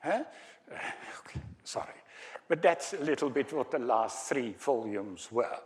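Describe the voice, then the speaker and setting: An older man with a Dutch accent lectures at an unhurried pace, stopping often on ums and uhs. His delivery is hesitant but friendly, broken by wry little asides to the audience, in a mid-pitched voice. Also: animated. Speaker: academic speaker. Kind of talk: conference lecture with slides.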